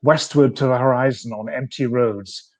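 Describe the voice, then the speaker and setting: A man reading his own poem in a measured way, pausing between phrases as he lists images. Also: medium pitch, a little mellow. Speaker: poet. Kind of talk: poetry reading.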